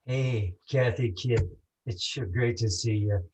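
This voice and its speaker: A man's voice: mid-range voice, slow, heavy background noise. A man playing a condemned, lovestruck small-time crook with slangy tough-guy talk in a noir radio play. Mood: fond, resigned. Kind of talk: 1940s noir radio acting, live community theatre.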